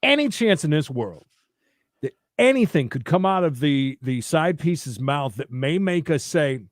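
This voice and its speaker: Emphatic, halting man with a higher voice. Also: lively intonation, dry recording, clean studio sound. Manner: emphatic, repeats himself, halting restarts. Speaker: co-host of a court commentary stream. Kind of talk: casual live-stream commentary.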